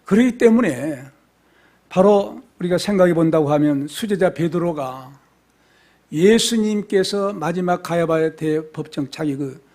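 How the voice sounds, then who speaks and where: Fervent high-voiced male pastor preaching. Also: unhurried. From South Korea. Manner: fervent preaching, swings from soft near-whispers to loud exclamations. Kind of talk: expository sermon. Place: church sanctuary.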